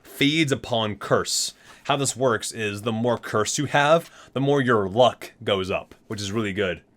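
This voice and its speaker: A man reading item descriptions aloud as an ASMR host, with deliberate, dramatic emphasis. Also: lowish voice, a bit bright.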